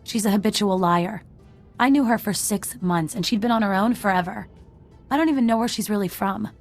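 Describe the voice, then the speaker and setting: Actress as a scrappy woman outlaw, mid-pitched voice. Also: brisk, natural voice. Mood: wary, confiding. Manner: colloquial, wry, clipped phrasing. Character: scrappy, street-smart woman outlaw, wary and defiant. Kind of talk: video game voice acting. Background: music.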